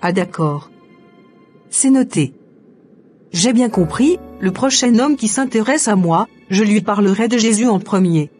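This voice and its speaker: A woman acting a devout young woman in a cartoon drama, earnest and trusting. Music plays under her scripted dialogue, and her pitch sits at an ordinary height for a woman. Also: lively intonation, measured pace, a little mellow, lightly acted. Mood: grateful.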